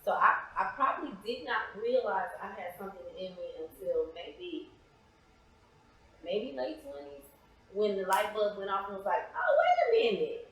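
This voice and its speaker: A woman's voice: medium pitch, sing-song, moderate pace. An American woman answering interview questions conversationally, in a reflective, earnest way. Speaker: interview guest, playwright and author. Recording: very echoey, reverberant room.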